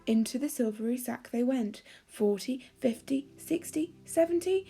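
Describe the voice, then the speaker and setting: Woman reading a kids bedtime story, soft-edged mellow tone, a bit high, measured and expressive. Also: gravelly. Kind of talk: calming children's story reading.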